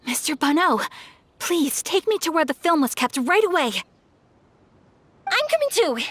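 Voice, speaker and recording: A woman's voice: very high-pitched, big pitch swings, normal speed, fairly bright tone, dry recording, heavily acted. A woman playing an eager, earnest young woman in an anime video game. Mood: urgent.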